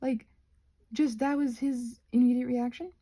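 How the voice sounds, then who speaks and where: Woman, husky and breathy, soft mellow tone, casual crafting ramble, hesitant, self-deprecating, drifts into near-whispers. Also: a little high, fairly even intonation, measured pace. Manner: self-deprecating, hesitant, trailing off mid-thought. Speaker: ASMR creator rambling while crafting. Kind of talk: casual rambling chat to viewers.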